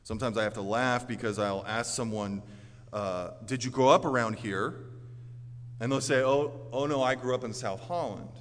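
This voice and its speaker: A man's voice: medium pitch, moderate pace. American man preaching in a reverberant hall. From Orlando, Florida, United States. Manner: earnest, emphatic, swinging from forceful declarations to quieter storytelling. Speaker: pastor preaching to a congregation. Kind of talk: sermon.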